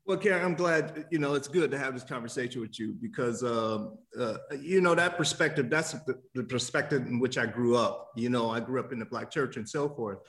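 A man from Miami, a guest author answering interview questions in a thoughtful, halting way with many fillers and restarts. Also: medium pitch, fairly bright tone. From Miami, USA.

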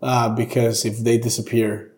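A man's voice: mid-range voice, little pitch movement, moderate pace, a little mellow. A young Peruvian man, a native Spanish speaker with a Peruvian accent, chatting informally as an interview guest. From Peru. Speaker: guest, drinks brand ambassador. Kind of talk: casual interview conversation. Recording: echoey room.

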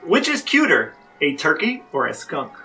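A man's voice: fairly high pitch, wildly swooping pitch, moderate pace, pushed and bright, distant mic, faint music behind. A man co-hosting a casual podcast, explaining things and asking questions. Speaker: podcast co-host, interviewer.

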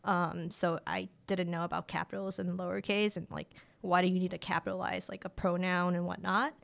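Interview guest: woman, late twenties, first language a Chinese village tongue, casual and rambling, voice on the low side. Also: fairly quick, vocal fry. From rural China. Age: late 20s. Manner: relaxed and chatty, with frequent "like" fillers and restarts. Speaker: guest, director of family empowerment.

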